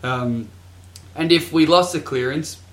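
A man's voice: on the high side, measured pace, fairly bright tone. A man co-hosting a casual sports podcast, joking and mock-grandiose. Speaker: podcast co-host. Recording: echoey room.

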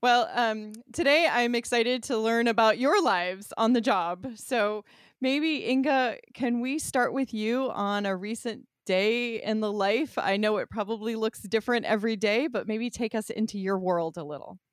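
A woman's voice: a little high, normal speed, dry recording, studio quality. A middle-aged woman hosting an interview in a casual, conversational way, chatty, informal and effusive. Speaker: interview host.

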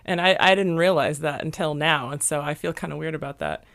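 A young woman with a hoarse, worn voice, co-hosting a casual podcast and talking haltingly, full of fillers and dry self-mocking humor. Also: sits low, a bit bright. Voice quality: hoarse, gravelly. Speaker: podcast co-host.

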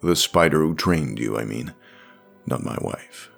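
A man's voice: a bass voice, expressive, a little slow, gravelly, fairly bright tone, soft background music, pitched below the performer's own voice, heavily acted. A man voicing a world-weary, absent-minded male boss in an audio drama, explaining things in a rambling, matter-of-fact way. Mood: mild, rambling.

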